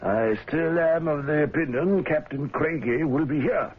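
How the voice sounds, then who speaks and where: An actor playing a gruff but genial middle-aged whaling fleet owner in a radio drama, avuncular and a little hesitant. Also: male voice, fairly high pitch, moderate pace, slight background noise, lightly acted. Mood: uneasy, confiding. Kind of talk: old-time radio drama acting. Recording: dull, lo-fi old radio broadcast.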